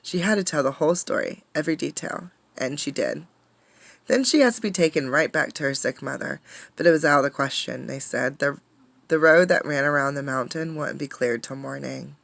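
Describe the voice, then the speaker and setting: Expressive woman reading a storybook, dry sound. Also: deep voice, brisk, creaky, no room sound. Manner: dramatic, emphatic storytelling. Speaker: teacher reading a picture book aloud. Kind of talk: expressive storybook read-aloud with questions to listeners.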